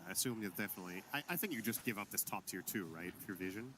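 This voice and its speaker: A man giving color commentary on a live esports match, analyzing the game in a measured way and thinking out loud. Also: on the low side, music in the background.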